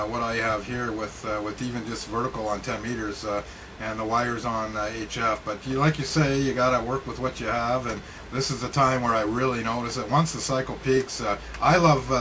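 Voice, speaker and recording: A man chatting casually as an amateur radio operator, talking in a halting, matter-of-fact way. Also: lowish voice, bright, forceful tone. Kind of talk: casual chat over ham radio. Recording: clearly noisy ham radio recording.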